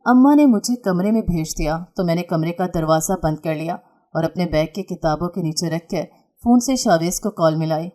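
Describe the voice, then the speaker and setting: A woman narrating a first-person dramatic story in a measured, emotional storytelling manner. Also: on the low side, warm tone.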